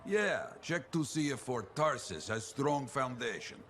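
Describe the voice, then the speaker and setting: A man's voice: medium pitch, animated, a little slow, fairly bright tone, slight background noise. A man playing a weathered older retired freelancer in a video game, speaking in clipped phrases with frequent check questions. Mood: weary, wry. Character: gruff, weathered old retired freelancer mentor, male.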